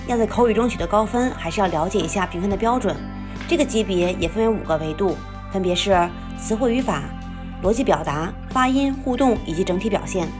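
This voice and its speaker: A woman teacher calmly explaining exam scoring in a scripted voice-over lesson, with music behind her. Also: medium pitch, brisk.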